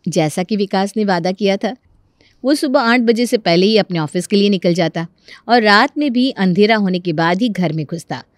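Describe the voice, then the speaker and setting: A woman's voice: mid-range voice, moderate pace, no echo. Woman narrating a story, very dry sound.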